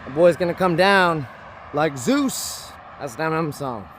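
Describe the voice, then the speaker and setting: A fairly high-voiced man speaks hoarsely, rambling and circling back on himself as he preaches to his camera. Faint traffic hums outdoors behind him. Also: animated, unhurried, a bit bright. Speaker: vlogger. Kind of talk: casual rambling monologue to camera.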